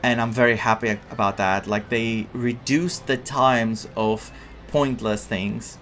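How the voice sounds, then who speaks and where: A man giving casual, excitable let's play commentary as a game streamer. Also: medium pitch, takes it slowly, slight background noise. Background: game music.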